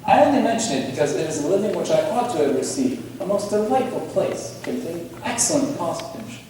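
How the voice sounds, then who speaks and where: A man playing an ingratiating, evasive young army officer in an amateur period stage play, polite and formal with some halting. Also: high voice, big pitch swings, warm tone. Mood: ingratiating, uneasy. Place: theatre stage, miked from afar. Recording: echoey hall, distant mic, faint noise.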